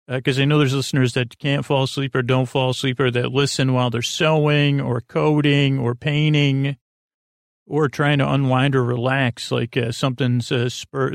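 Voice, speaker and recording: Creaky, meandering sleep-podcast man. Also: no room sound, clean studio sound. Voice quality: creaky, low-key delivery. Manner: rambling, self-interrupting, goes off on tangents; gentle reassurance, flat pitch. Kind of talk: meandering bedtime monologue.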